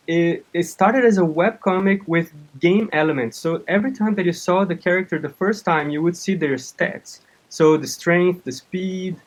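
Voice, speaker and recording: A man in his thirties from Brazil with a Brazilian accent, a native Portuguese speaker, giving casual interview answers in a halting way. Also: sits high, low-quality recording. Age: 30s. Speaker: interview guest, comic artist and game developer.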